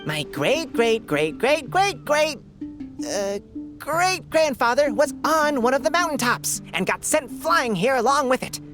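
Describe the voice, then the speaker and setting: An actress voicing a chatty, prim talking squirrel in a video game, with quaint genteel phrasing. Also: female voice, sits high, sing-song, a little slow, fairly bright tone, faint music behind, full character voice. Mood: chatty, aggrieved. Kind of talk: video game character acting.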